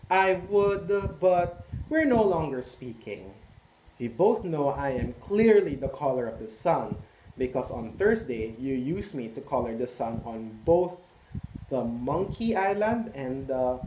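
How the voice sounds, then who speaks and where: A man's voice: medium pitch, a little mellow, natural voice. A man voicing a snippy male orange crayon reading its letter. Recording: echoey room, faint noise.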